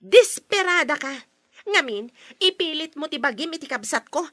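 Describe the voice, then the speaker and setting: Shrill, snide Filipino sister. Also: female voice, very animated, normal speed, fairly bright tone, no echo. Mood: taunting, contemptuous. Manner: taunting, haughty. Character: snide, bossy sister guarding her brother from his girlfriend. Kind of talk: Ilocano radio drama acting.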